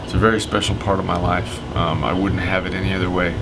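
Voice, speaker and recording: A man in his mid-thirties, an amateur filmmaker, talking through a halting, rambling personal video diary full of fillers. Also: sits low, level tone. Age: 30s. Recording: loud background noise.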